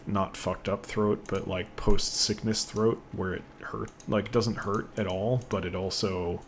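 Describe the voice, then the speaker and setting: A man rambling casually while commentating on a gameplay video, with a voice he says sounds deeper since an illness. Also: creaky. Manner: rambling, chatty, thinks aloud. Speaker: gaming video host. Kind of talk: casual let's play commentary.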